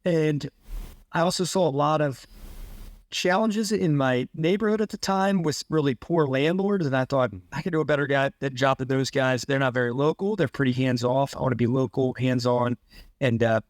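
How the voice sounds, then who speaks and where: A man from Lancaster, Pennsylvania speaking as a podcast guest, thoughtful and pausing to find his words. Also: medium pitch, no room sound, studio quality. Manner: thoughtful, stops to search for words. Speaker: guest, landlord. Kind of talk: podcast interview.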